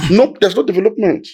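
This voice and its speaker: A man's voice: high-pitched, darkish tone, slightly rough. A Nigerian man with a Nigerian accent, explaining history in a discussion in an emphatic, lecturing way, building his points step by step.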